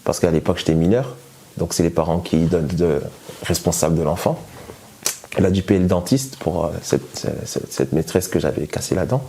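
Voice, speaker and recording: Deep-voiced man, halting testimony. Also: warm tone, a little hiss or hum. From France. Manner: hesitant, many fillers and restarts. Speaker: guest giving testimony. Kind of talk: conversational personal testimony.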